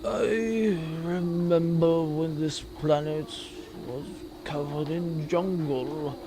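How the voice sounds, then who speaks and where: A man's voice: fairly high pitch, very slow, background noise. A man playing a callous, nostalgic old war veteran who rambles in a comic sci-fi audio drama. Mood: callous, wistful. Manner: rambling, wistful reminiscing.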